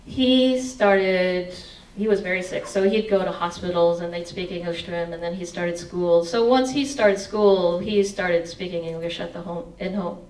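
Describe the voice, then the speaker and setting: A woman's voice: fairly low pitch, normal speed, few pauses, reverberant, far from the mic, slight background noise, rough recording. A woman in her forties reading aloud from her memoir with a headset mic, then answering audience questions. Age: 40s. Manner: expressive reading, sings snatches of song. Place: indoor meeting room.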